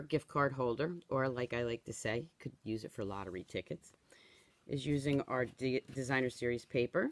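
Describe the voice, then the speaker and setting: Chatty woman in her sixties, slightly hoarse. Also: deep voice, fairly bright tone, no room sound. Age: 60s. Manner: chatty, tags instructions with "okay?". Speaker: stamping craft demonstrator. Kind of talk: live-streamed craft tutorial.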